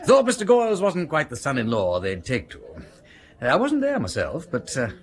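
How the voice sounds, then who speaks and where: An actor playing an affable, diffident aristocratic gentleman with an upper-class English accent, politely hesitant and understated, in a radio drama. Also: fairly high pitch, huge pitch swings, moderate pace. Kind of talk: radio comedy-drama acting.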